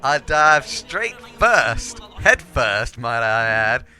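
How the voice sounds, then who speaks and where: A man's voice: medium pitch, big pitch swings, very slow, pushed and bright, exaggerated voice. A man playing a chummy, long-winded robot doctor in a comic audio drama, hesitant and fond of tall tales. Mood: rambling, boastful.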